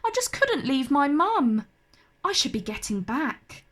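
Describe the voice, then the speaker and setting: A woman's voice: sits high, big pitch swings, unhurried, a bit bright, full character voice. A woman voicing a polite, kind, earnest young boy in a children's storybook. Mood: apologetic.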